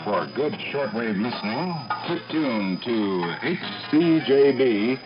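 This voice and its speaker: Very slow male announcer over loud music. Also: mid-range voice, animated. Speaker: station announcer. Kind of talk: scripted station identification. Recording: muffled shortwave radio recording.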